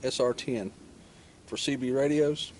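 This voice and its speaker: A man with a mid-pitched voice talks through a hands-on job in a casual, plain-spoken way. He goes step by step as he works. Faint ambient and vehicle noise sits behind his voice. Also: unhurried, darkish tone. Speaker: motorcycle vlogger demonstrating gear installation.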